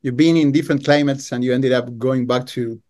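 A man hosting a podcast in a casual, conversational tone. He asks his questions in a friendly way, filling the pauses with "uh" and restarts. His voice sits at an ordinary male pitch. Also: fairly even intonation, darkish tone. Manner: friendly, filler-laden questions. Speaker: podcast host.

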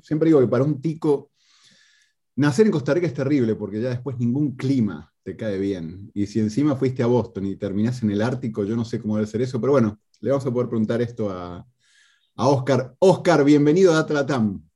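A man hosts a podcast in a Latin American Spanish accent. His voice is mellow and somewhat raspy, at an average pitch, and he talks in a casual, conversational style. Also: a little fast. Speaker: podcast host. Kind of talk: casual interview chat.

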